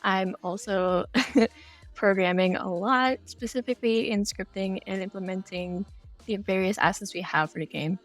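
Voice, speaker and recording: A woman's voice: medium pitch, moderate pace, soft background music. A woman explaining her work as an interview guest. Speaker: interview guest, game project lead.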